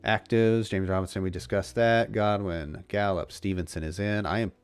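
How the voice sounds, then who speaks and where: A man's voice: on the low side, steady tone, moderate pace, smooth, faint music behind. A man hosting a casual fantasy football livestream, thinking aloud with frequent restarts. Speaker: fantasy football show host.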